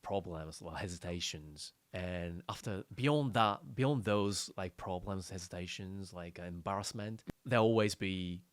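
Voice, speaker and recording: Halting man chatting on a podcast, dry sound. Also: low voice, dry recording. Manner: halting, repeats phrases, frequent backchanneling. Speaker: podcast co-host. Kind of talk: casual conversational podcast discussion.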